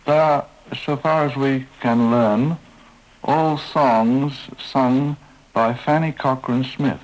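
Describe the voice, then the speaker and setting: Slow, halting man. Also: mid-range voice, fairly even intonation, rough recording. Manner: slow, careful, halting with fillers. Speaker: museum expert being interviewed. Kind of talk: archival radio interview.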